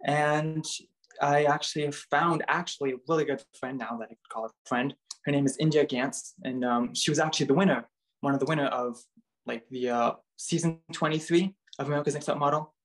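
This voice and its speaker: A man's voice: fairly high pitch, fairly even intonation, a little fast, poor audio. A young man from Bulgaria, raised in Canada, chatting casually as an interview guest and filling his talk with "like" and "um". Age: young adult. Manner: chatty, many fillers like "like" and "um". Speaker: interview guest, model and dancer. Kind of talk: casual chat.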